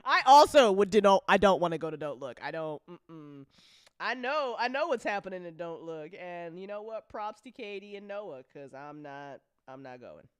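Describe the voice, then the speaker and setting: Animated, clear-voiced woman chatting casually. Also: fairly low pitch, moderate pace, no echo, studio quality. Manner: animated, sweary, slangy. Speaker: audio drama creator and host of after-show. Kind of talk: casual chat.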